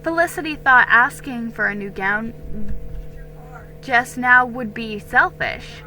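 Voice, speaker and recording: Woman storybook reader, bright crisp tone, slow deliberate pace, dramatic expressive narration, children's historical tale. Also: fairly high pitch, soft background music, poor audio.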